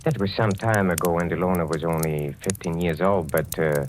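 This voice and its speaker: An actor playing a sinister, mocking older aristocrat, speaking with theatrical deliberation in an old radio drama. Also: male voice, sits low, moderate pace, slight background noise, lightly acted. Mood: grave, relishing. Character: sinister aristocratic patriarch of an old accursed family. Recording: old radio recording with music behind.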